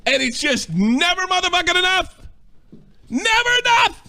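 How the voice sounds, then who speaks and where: A man's voice: extremely high pitch, big pitch swings, normal speed, bright, forceful tone. A man in his forties, a streamer in a played clip, delivering an angry, profane and indignant rant. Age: 40s.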